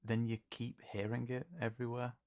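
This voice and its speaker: A man playing an easygoing, gently supportive fantasy companion in an audio roleplay, speaking softly and haltingly. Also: mid-range voice, monotone, close to an everyday voice. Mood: thoughtful, hushed. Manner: halting, soft-spoken, reassuring. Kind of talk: intimate audio roleplay acting.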